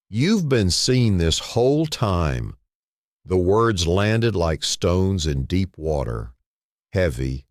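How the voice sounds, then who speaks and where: A man narrating a scripted dramatic story in a solemn manner, in short phrases with pauses. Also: low-pitched, no room sound, studio quality.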